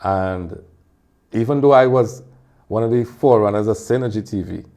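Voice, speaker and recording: A man with a Trinidadian accent, talking as a guest in a casual interview and telling animated stories with frequent laughter. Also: on the low side, moderate pace. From Trinidad and Tobago. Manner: animated storyteller, laughs often. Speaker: guest, music video producer and singer.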